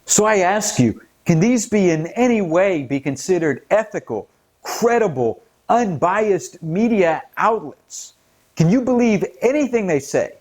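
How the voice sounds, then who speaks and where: A man's voice: high voice, lively intonation, measured pace, darkish tone. An American man hosting his own commentary channel, talking earnestly and growing indignant as he lays out a case. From United States. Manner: earnest, indignant, rising emphasis at key points. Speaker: political commentary channel host. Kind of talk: scripted-sounding solo commentary.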